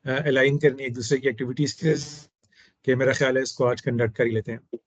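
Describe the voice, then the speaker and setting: Man, host, hoarse, measured, formal, middle pitch, dull lo-fi sound. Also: steady tone, no room sound. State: hoarse throat. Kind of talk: casual discussion. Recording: dull, dry lo-fi audio.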